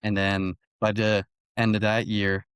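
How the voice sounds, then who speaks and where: A man's voice: lowish voice, flat delivery, a little slow, clear, resonant tone, no echo, clean studio sound. A man in his early twenties from Belgium, an athlete telling his story casually as an interview guest. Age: early 20s. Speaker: college golfer, interview guest.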